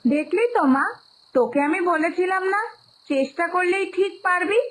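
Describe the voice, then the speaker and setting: A woman's voice: extremely high pitch, moderate pace. A woman voicing a doting, hard-working village mother in a cartoon moral story, with a coaxing, explanatory manner that repeats phrases. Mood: proud, tender.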